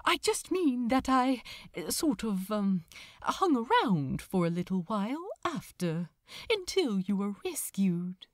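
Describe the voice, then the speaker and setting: A gentle male angel from an audiobook, acted by a woman, fond and quietly brave. He speaks softly and tenderly at an unhurried pace, recorded very dry. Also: mid-range voice, big pitch swings, no echo. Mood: cheerful, hesitant. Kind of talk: audiobook character acting.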